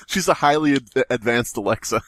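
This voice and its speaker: A man's voice: medium pitch, a bit bright, no room sound. A man co-hosting a podcast, casually reading a story and breaking in with wisecracks. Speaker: podcast co-host.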